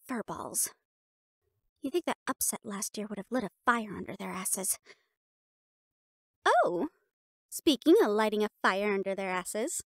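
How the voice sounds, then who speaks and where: A woman playing a bossy, sharp-tongued young catgirl cheerleader captain in a scripted audio roleplay. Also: medium pitch, expressive, unhurried, gravelly, pauses often, no room sound, clean studio sound. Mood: exasperated. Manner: bossy, sassy, emphatic.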